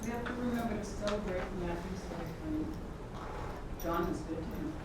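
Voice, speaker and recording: A woman's voice: medium pitch, normal speed, close to an everyday voice. Woman acting a grieving mother, distant and echoey. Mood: sorrowful. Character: grieving mother of a dead son, wistful, tender. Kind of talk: staged play reading. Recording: echoey room, far mic, clear background noise.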